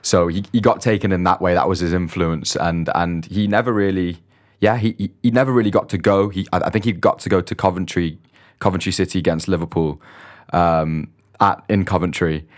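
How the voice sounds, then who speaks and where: A man's voice: low voice, fairly even intonation, a little fast. A young man, a podcast guest from Dubai and London, talking casually and hesitantly with many restarts. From grew up mostly in Dubai, born in London. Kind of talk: casual interview chat.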